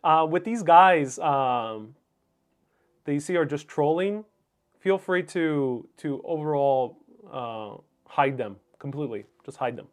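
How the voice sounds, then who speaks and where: A man's voice: fairly high pitch, animated, slow. A man whose first language is Spanish, hosting a livestream in a casual, musing, somewhat hesitant way as he reads and answers viewer comments. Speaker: livestream host.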